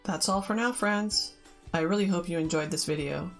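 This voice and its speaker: A woman storytime host with a slightly low voice. She reads children's fiction aloud as an expressive narrator, addressing her listeners directly. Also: normal speed, loud music behind the voice.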